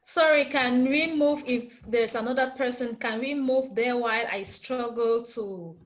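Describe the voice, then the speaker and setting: A woman's voice: fairly high pitch, measured pace, muffled recording. A woman chatting casually as a participant in an online reading group on a video call. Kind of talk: casual chat.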